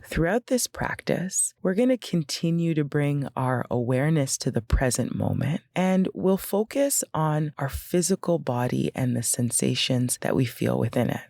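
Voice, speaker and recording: A woman leading a guided meditation in a gentle, unhurried, instructional way with pauses between phrases. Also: low-pitched, animated, gravelly, no room sound, clean studio sound.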